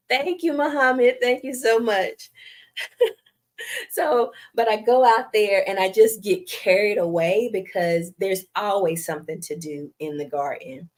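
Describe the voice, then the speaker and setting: A woman's voice: mid-range voice, unhurried. A middle-aged woman with a Southern US accent and a light, youthful voice, chatting casually with viewers on a live stream and laughing often. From United States. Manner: chatty, giggly, self-deprecating, reads viewer comments aloud.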